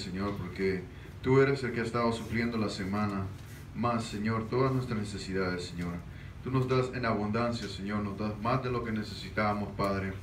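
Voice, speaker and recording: A middle-aged man leading a fervent spoken prayer over the offering, constantly repeating the same address to God. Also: lowish voice. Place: small church, indoors. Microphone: handheld mic from a far camera. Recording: echoey room, distant, noisy.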